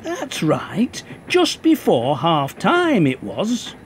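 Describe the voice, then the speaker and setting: An actor playing a stubborn, nostalgic football supporter in a radio play, terse and dogged. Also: male voice, high-pitched, wildly swooping pitch, extremely slow, a little hiss or hum, heavily acted. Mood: insistent.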